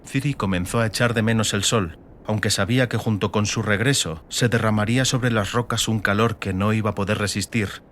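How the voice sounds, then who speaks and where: A man with a fairly low voice narrates a fantasy audiobook. His delivery is scripted and dramatic, with a slight rumble of noise underneath. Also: steady tone, fairly quick, fairly bright tone.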